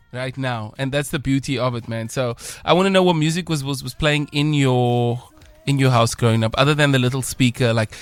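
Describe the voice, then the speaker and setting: Upbeat South African radio host, dry sound. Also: male voice, medium pitch, smooth, dry recording. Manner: enthusiastic, laughs often, rambling questions. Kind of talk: casual interview chat. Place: radio studio.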